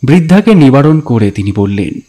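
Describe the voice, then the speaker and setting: A man narrating a scripted story dramatically, in a deliberate, expressive storytelling manner. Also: mid-range voice. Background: background music.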